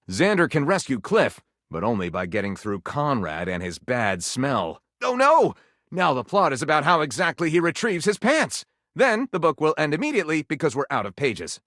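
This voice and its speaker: A man's voice: medium pitch, fairly bright tone, no echo, clean studio sound. A man playing a theatrical, tongue-in-cheek narrator of pulpy detective tales in a video game, arch and savoring every dramatic turn.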